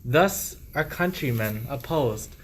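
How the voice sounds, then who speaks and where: A bitter, downtrodden shepherd played by a man laments in a mid-pitched voice. The verse is slow and declaimed, in the manner of an amateur radio play. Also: animated, slight background noise. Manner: measured, declamatory verse delivery. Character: downtrodden male shepherd, bitter at rich oppressors.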